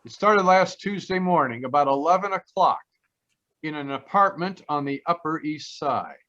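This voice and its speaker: An actor playing a wry, slangy American private detective who narrates an old-time radio mystery with grumbling comic patter. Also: male voice, on the high side, expressive, normal speed, bright, forceful tone. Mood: storytelling. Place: performed from home on a video call. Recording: slightly dull video-call sound.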